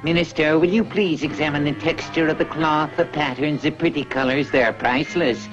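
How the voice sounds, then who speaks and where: A man voicing a swindling weaver in a satirical fairy tale, coaxing and flattering with mock courtesy like a salesman. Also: a little high, normal speed, dull audio, music in the background, close to an everyday voice. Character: smooth-talking swindler weaver flattering his royal marks.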